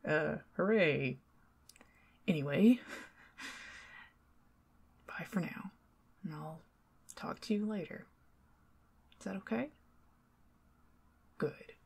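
Low, gravelly Southern woman whispering. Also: expressive, drawn-out words, long pauses. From Southern United States. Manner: chatty and rambling, drifting between soft speech and whispers, with nervous giggles. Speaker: ASMR roleplay performer. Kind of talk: ASMR roleplay, talking to the listener as a friendly neighbor.

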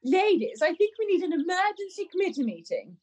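Woman doing an excitable prim panto lady, super high voice, swoopy. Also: brisk, muffled recording. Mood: eager. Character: excitable, pleased, peace-making village lady. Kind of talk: radio pantomime acting.

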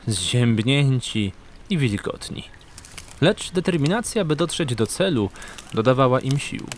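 A male narrator with a medium pitch and lively intonation delivers scripted fantasy storytelling in a dramatic style. Faint ambient noise sits behind him.

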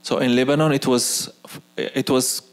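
A man in his forties, a native Arabic speaker, speaking on an auditorium stage as a guest artist, pausing and restarting often. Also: mid-range voice, fairly even intonation. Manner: hesitant, frequent restarts. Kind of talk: onstage conversation. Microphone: handheld mic.

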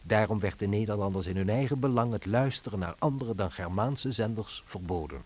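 A man's voice: lowish voice, brisk, darkish tone, breathy edge. A man narrating a radio drama in a scripted, dry and ironic way.

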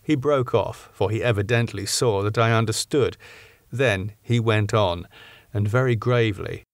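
A man reading a classic novel as an audiobook narrator in a measured, clearly phrased way. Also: on the low side, no room sound, studio quality.

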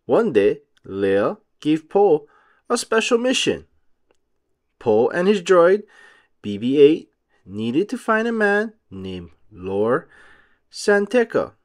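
A man narrating a children's storybook aloud, phrasing each short sentence deliberately for young listeners. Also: a little high, huge pitch swings.

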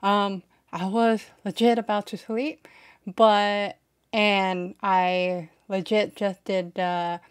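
Female reaction host, twenties, slow hesitant delivery, mid-pitched, informal streamer chat. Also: little pitch movement, clean tone. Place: small bedroom streaming setup. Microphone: desk microphone up close.

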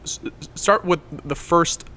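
A man hosting a podcast who asks questions and sums up his guests' stories, often filling with "you know," recorded on a boom mic with headphones in a studio. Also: takes it slowly, crowd noise. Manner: prompting and summarizing, fills with "you know". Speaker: podcast host. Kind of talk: interviewing, casual podcast. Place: lounge-styled podcast studio. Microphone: headset and boom mic.

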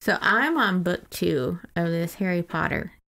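A woman's voice: lowish voice, expressive, a little slow, creaky, a bit bright. A woman co-hosting a podcast, chatting casually about books in an irreverent, freely swearing way. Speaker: podcast co-host. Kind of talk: casual book-discussion chat.

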